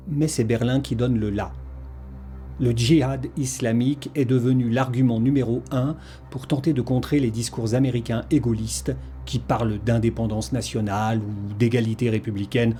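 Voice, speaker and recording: A man's voice: medium pitch, mellow. A man reading a scripted historical documentary narration as its narrator.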